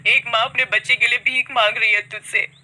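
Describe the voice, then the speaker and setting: A woman's voice: a little high, fairly even intonation, fairly quick, sharp tone, pitched above the performer's own voice, rough recording. A woman voicing a distraught village mother, wailing and pleading, in a cartoon story. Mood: desperate pleading.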